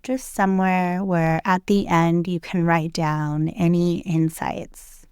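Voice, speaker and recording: A woman leading a guided meditation in a gentle, soothing, unhurried way, leaving long pauses between short phrases. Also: fairly low pitch, little pitch movement, clean tone, dry recording, clean studio sound. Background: loud meditation music with singing bowls.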